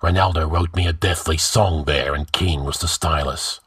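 A man performs a wrathful barbarian warrior king from a fantasy tale. The voice sits low and growls. He speaks bluntly and imperiously, with gruff grunting force. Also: fairly even intonation, normal speed, a bit bright, dry recording, studio quality, full character voice. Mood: weary grim humor.

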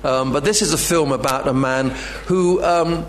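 Hesitant male lecturer with faint hum. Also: a little high. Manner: halting, many fillers and restarts. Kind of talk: academic lecture.

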